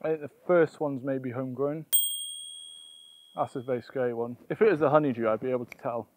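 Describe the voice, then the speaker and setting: A man's voice: medium pitch, fairly even intonation, a little fast, phone-quality sound, a little hiss or hum. A young man presenting a casual gardening vlog outdoors, explaining things and often thinking aloud. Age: young adult.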